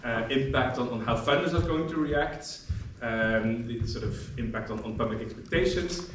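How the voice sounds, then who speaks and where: A man's voice: mid-range voice, moderate pace. A man moderating a workshop panel, joking loosely and restarting his sentences often. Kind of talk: introducing a panel discussion. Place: lecture room, workshop audience. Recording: very echoey room, distant mic, faint noise.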